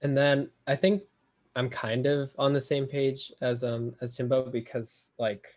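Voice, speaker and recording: A man's voice: mid-range voice, steady tone, a little slow, darkish tone. Rambling young college man. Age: young adult. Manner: rambling, heavy use of "like". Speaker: college student, club officer. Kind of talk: casual podcast chat.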